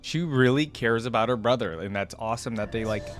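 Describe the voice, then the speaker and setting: A woman's voice: rumbling low, brisk, soft background music. A woman hosting a reaction video, talking in a casual, musing, conversational way. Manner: musing, conversational, often echoes lines back.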